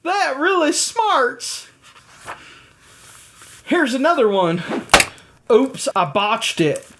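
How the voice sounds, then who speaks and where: A woman doing an excitable cartoonish egg man. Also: high voice, extremely slow, slight background noise, heavily acted. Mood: cheery. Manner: excitable, exclaiming. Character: cheerful clumsy male egg handyman.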